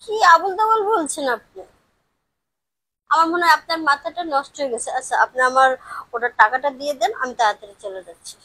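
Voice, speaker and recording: A woman plays a female parcel courier in an amateur audio drama. Her voice is very high and recorded close and dry. She is hurried and insistent, protesting with indignation and standing her ground. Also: sharp tone, no room sound, low-quality recording. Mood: flustered, impatient.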